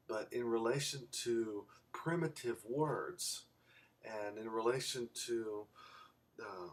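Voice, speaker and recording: Slow, halting man, distant from the mic. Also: medium pitch. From raised in Belgium. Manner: halting, deliberate, with long pauses. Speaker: amateur Bible scholar giving a video response. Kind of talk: informal explanatory lecture.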